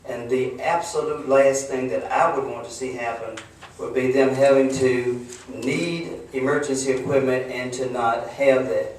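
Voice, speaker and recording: A middle-aged man, a board member, formally addressing a public meeting in a measured, plain-spoken, earnest way. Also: medium pitch, steady tone. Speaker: board member with law enforcement background. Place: echoey public meeting room. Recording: very echoey room, distant mic, some noise.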